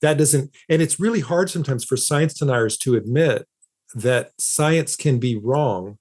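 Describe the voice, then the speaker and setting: A man's voice: mid-range voice, unhurried, a little mellow. A man speaking as an interview guest, explaining ideas conversationally and repeating phrases for emphasis. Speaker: interview guest, philosopher of science and author.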